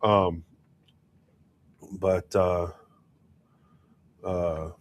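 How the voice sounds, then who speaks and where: A man's voice: low voice, flat delivery, drawn-out words. A man co-hosting a casual hobby chat, sounding plugged up from a head cold and rambling with many fillers and restarts. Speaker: co-host. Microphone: wireless clip-on mic.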